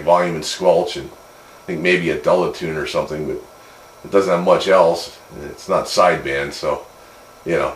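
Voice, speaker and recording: A man chatting casually and matter-of-factly as host of a swap meet over CB radio. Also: low-pitched. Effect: CB radio transmission. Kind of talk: casual two-way radio chat. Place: voice heard over CB radio with faint noise. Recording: roomy, faintly noisy AM CB radio.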